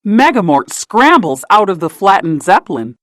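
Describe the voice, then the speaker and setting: A woman narrating a children's storybook in an expressive, deliberate read-aloud style. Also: mid-range voice, dry recording, studio quality.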